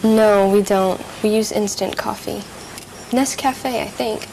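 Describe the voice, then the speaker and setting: A woman reading a server's part in a scripted practice dialogue, polite and clearly spoken. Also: medium pitch, fairly even intonation, a little slow, darkish tone, a little hiss or hum, low-quality recording. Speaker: dialogue reader playing a server.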